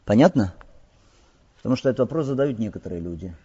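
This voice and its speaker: A man with a mellow, slightly husky voice teaches religious law in a steady lecture. He often checks in with his listeners through rhetorical questions. The recording is dull and lo-fi, with a long reverb trailing off the walls of a large hall. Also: lowish voice, fast talker. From Crimea. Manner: recites passages in Arabic. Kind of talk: explaining hadith in a lecture.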